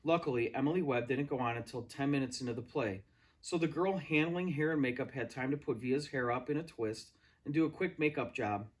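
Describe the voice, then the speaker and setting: A man reading a story aloud as an expressive narrator. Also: medium pitch, brisk. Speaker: read-aloud reader.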